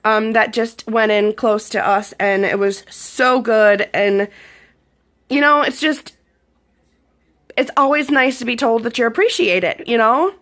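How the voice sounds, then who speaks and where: A hoarse woman, around her thirties, chatting casually with a lot of ums while showing her journal pages. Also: fairly high pitch, steady tone, unhurried, fairly bright tone. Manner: rambling, chatty, with frequent ums. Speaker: YouTube vlogger. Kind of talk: casual journal flip-through.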